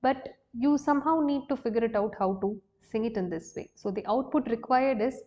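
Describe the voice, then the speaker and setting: A woman's voice: fairly high pitch, a little fast, dark tone, muffled recording. A woman with an Indian accent teaching a singing lesson, explaining exercises step by step. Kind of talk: instructional lesson with sung demonstrations.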